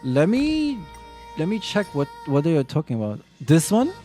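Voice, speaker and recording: A man's voice: a little high, huge pitch swings, dark tone. A man streaming a game, answering chat questions casually, stopping and restarting his sentences often. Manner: halting, full of restarts and fillers while answering chat. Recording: faint game music behind.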